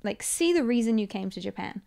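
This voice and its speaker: A young woman with a New Zealand accent chatting casually as a podcast guest, telling self-deprecating anecdotes in an animated way. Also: fairly high pitch, brisk, creaky. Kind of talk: casual chat.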